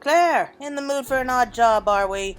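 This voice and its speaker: A woman voicing a brisk, courteous quest giver from a fantasy game, formal and wordy with a teasing touch. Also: a little high, pitched above the performer's own voice, close to an everyday voice. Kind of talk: acted reading of fantasy game dialogue. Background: game music.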